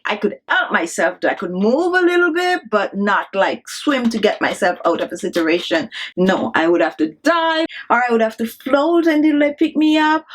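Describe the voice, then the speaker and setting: A woman in her thirties from Jamaica with a strong Jamaican accent, chatting casually to camera and laughing often. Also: on the high side. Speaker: beauty vlogger. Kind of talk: casual vlog chat.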